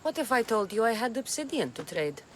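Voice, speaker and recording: A tough young female mercenary in a video game, speaking with a Greek accent. She is wry, dry and self-assured, and her pitch moves in an animated way. Faint ambient noise sits under the dialogue. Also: a little fast. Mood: bargaining. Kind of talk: video game cutscene acting.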